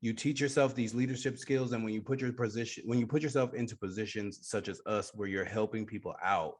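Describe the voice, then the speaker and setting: A man's voice: fairly low pitch, steady tone, brisk. A man with a New York accent hosting a casual radio interview.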